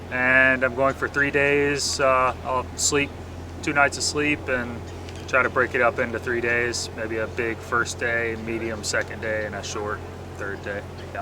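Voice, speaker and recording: A man's voice: mid-range voice, noisy background. A man from Florida, a bikepacking racer answering interview questions in an upbeat, easygoing way.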